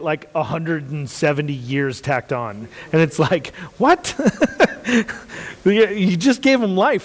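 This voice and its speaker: A man with a big, carrying voice sits a touch higher than most men. He speaks as a pastor in casual, earnest faith talk, leaning on repetition. The sound rings out with long room reverb. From United States. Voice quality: loud, carrying voice. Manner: earnest, repeats phrases for emphasis.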